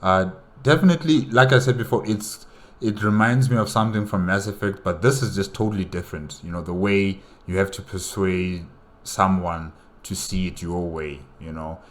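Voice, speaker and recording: A man giving casual reaction commentary, halting and thinking aloud as he repeats himself. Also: low-pitched. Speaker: reaction video host. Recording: noisy home recording.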